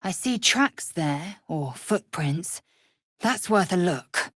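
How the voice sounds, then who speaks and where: A fierce young alien warrior woman from a fantasy video game, low and measured. She speaks in clipped, formal, commanding phrases with haughty authority. The voice has a husky rasp and sounds dry and close. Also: lively intonation, fairly bright tone, no room sound, full character voice. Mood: wary, observant. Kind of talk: video game character voice lines.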